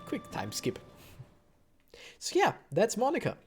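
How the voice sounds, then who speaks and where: A man's voice: high voice, wildly swooping pitch, a little slow, darkish tone. A man giving casual, rambling let's play commentary with wry asides. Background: game music.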